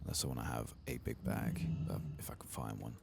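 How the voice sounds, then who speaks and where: A man giving hushed, laid-back ASMR game commentary, speaking softly and casually close to the mic. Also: rumbling low, fairly even intonation, brisk. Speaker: ASMR gaming host. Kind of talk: soft-spoken and whispered ASMR game commentary. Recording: clear background noise and game ambience.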